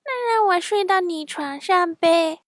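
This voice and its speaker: A woman voicing a small, anxious young mouse in a children's story, whining and pleading excitably. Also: very high voice, measured pace, dry recording, studio quality, pitched above the performer's own voice. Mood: timid pleading.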